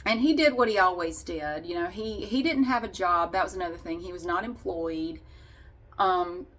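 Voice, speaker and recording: A woman's voice: lowish voice, lively intonation, normal speed, fairly bright tone. A woman vlogger casually telling a personal story in a candid, wry and sarcastic way.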